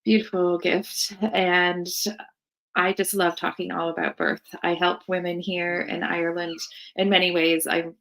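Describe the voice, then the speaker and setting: This is an American woman speaking casually as a podcast guest. Her voice sits on the low side and has a strong creak to it, with a loose conversational delivery. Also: level tone, a little slow, fairly bright tone, low-quality recording. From United States, Seattle area. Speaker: podcast guest, birth worker.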